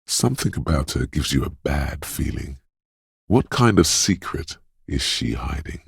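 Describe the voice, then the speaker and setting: A man playing a detective's ominous, dreamy intuition in a video game, speaking in a hushed, portentous, theatrical way with dramatic pauses and a gravelly, husky voice. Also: a bass voice, sing-song, dry recording, studio quality, exaggerated voice. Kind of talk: video game voice acting, second-person inner monologue.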